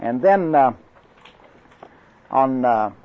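A man's voice: mid-range voice, animated, drawn-out words. An older man from Oklahoma with an Oklahoma accent, giving a folksy, joke-filled training talk to class instructors, rambling through anecdotes with many restarts. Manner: storytelling, joking, drawling, rambling restarts. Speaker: evangelistic crusade class instructor. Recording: dull lo-fi recording.

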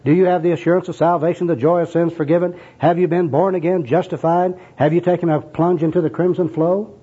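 Fervent male zealot, put on by a man, pitched up, florid, preachy, insistent, comic skit, muffled recording. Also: fairly even intonation, quick pace, dark tone, breathy edge. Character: fervent jargon-spouting male religious zealot, friendly but insistent. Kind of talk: comic skit acted out in a sermon.

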